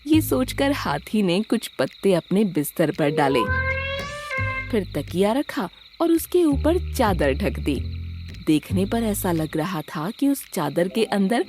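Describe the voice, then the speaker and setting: An animated female storyteller reading a scripted tale for children. Her pitch is middling and moves widely, over clearly audible music. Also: slow pace. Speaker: narrator. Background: background music.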